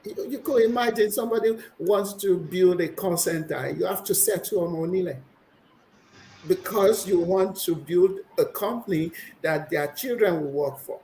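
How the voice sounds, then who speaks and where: A woman's voice: on the low side, moderate pace, dark tone, a little hiss or hum, poor audio. Opinionated woman guest. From Nigeria. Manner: opinionated, explanatory, with frequent restarts. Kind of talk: conversational interview.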